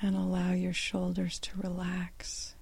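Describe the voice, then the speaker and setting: A woman guiding a meditation with gentle, soothing, unhurried instructions and long pauses, in a soft and at times breathy voice. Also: on the low side, monotone. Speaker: meditation guide. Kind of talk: guided meditation.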